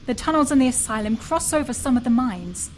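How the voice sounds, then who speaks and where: Woman playing a high-voiced, prim young heroine. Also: animated, a little fast, slight background noise. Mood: dry, composed. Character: proud, principled, prim young British woman, detective's partner. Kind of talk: audio drama acting.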